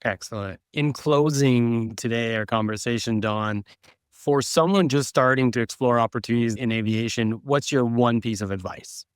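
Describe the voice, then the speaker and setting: A man's voice: mid-range voice, moderate pace, clear, resonant tone, dry recording, clean studio sound. A man hosting an interview, putting questions to a guest in a fairly formal style. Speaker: interview host.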